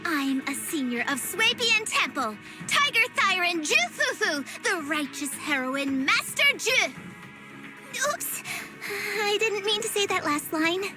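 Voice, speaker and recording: A woman's voice: very high-pitched, sing-song, takes it slowly, full character voice, poor audio. A woman voicing a small, righteous young tiger girl in an anime-style video game, proud and earnest. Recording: music behind voice.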